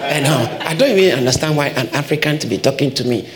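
A man's voice: medium pitch, animated, fast, reverberant, crowd noise. A man from Ghana, preaching a sermon as pastor, emphatic and fond of repeating his phrases.

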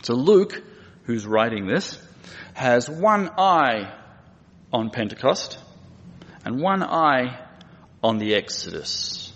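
A man's voice: medium pitch, sing-song, takes it slowly, long pauses, fairly bright tone. A middle-aged man with an Australian accent, preaching conversationally with dry humour and plain speech. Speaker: guest preacher and missionary. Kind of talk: conversational sermon. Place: large church room. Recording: echoey hall with long reverb.

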